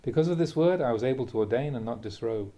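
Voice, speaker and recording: A man, a Buddhist monk, reads a translated spiritual talk aloud in a measured, emphatic way, posing rhetorical questions. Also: mid-range voice, animated, mellow. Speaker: Buddhist monk reading a teacher's talks aloud. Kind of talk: reading a translated Dharma talk aloud, with brief asides.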